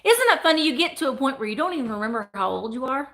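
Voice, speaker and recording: A woman's voice: sits high, lively intonation, racing, fairly bright tone. A woman in her forties hosting a live vintage sale from home, chatting and joking as she shows items. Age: 40s. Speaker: online vintage seller.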